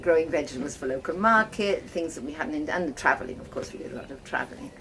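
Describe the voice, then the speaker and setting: An older woman from Wales telling her life story casually, reminiscing in a halting, conversational way. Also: deep voice, expressive. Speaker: host of guest lodging, farmer's wife. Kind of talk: casual personal storytelling to guests. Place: informal talk to visitors, filmed by a guest. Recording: noisy ambient home video.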